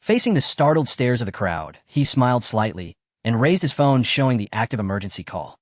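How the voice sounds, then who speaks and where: A man's voice: mid-range voice, big pitch swings, fairly quick, dry recording. Guy narrating a story, mid voice, lots of melody, dry close recording.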